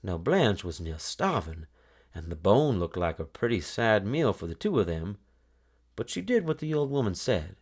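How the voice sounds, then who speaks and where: Low-voiced, animated male storyteller. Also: normal speed, husky. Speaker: storybook narrator. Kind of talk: expressive children's read-aloud narration.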